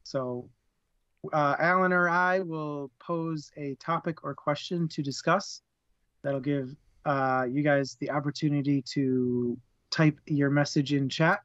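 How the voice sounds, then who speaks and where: Chatty man, slightly higher voice. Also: fairly even intonation, slow, a bit bright, no room sound, dull audio. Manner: chatty, laughs often, trips over words. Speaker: co-host of a live book discussion stream. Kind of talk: casual live-stream chat, plugs and rundown.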